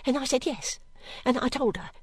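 A wretched young servant girl from a storybook. The voice is very high and close and dry, plaintive and pleading, anxious to please and easily frightened. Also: normal speed, husky, no room sound. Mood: frightened, distraught. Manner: plaintive, pleading, breathlessly repetitive. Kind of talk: audiobook character acting.

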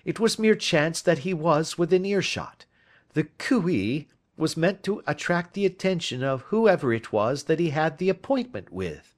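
A man's voice: a little high, sing-song, measured pace, pitched above the performer's own voice. A man reading the part of a brilliant Victorian detective, who lectures in a precise, emphatic way. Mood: lecturing.

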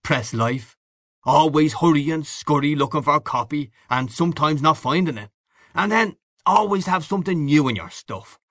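A man's voice: fairly high pitch, animated, normal speed, a bit bright, no echo, studio quality, voice pushed up. A man voicing a hearty, boastful newspaperman in an audiobook, breezy, expansive and patronising. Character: boisterous, boastful, hearty Irish newspaperman back home. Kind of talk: audiobook character dialogue.

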